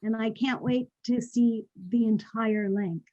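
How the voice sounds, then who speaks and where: A woman's voice: fairly high pitch, steady tone, unhurried, dark tone, highs cut off. A woman hosting a casual online conversation with artists and encouraging her guests. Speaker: host. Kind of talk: casual video-call chat.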